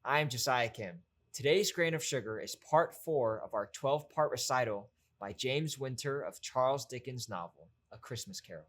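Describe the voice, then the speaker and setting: A man's voice: mid-range voice, animated, moderate pace, hardly any pauses. Male host, mid-range voice, scripted introduction to a storytelling program.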